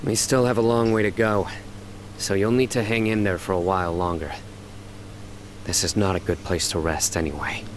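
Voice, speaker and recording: A grave young desert warrior-judge, voiced by a man in a game, speaking in measured, clipped lines. His voice sits very low and deep. Clear noise runs under the game audio. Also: steady tone, lightly acted. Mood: steady. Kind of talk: game voice acting.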